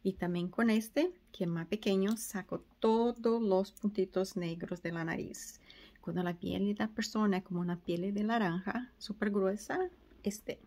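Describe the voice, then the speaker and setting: A woman in her forties with a Portuguese accent, explaining skin treatments in a chatty, casual way. She talks into a phone held at arm's length in a small treatment room. Her pitch sits in a middle range for a woman. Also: a little slow, dark tone. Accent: Brazilian Portuguese. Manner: chatty, mixes English words into her Spanish. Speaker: esthetician. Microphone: phone camera at arm's length.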